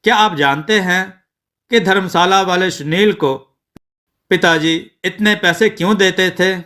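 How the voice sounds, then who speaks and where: A man's voice: high-pitched, measured pace, sharp tone. A man reading as a dutiful, earnest young son. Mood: puzzled, questioning. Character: dutiful educated young son taking over family shop.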